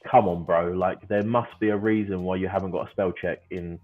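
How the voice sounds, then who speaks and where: A man in his thirties joining a podcast livestream as co-host, chatty and given to playful rants, with quick laughs. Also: deep voice, fairly even intonation. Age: 30s. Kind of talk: casual livestream chat. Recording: tinny phone-like call audio.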